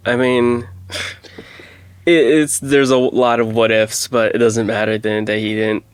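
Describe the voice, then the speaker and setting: Gravelly man in casual podcast chat. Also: mid-range voice, dry recording. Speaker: podcast co-host.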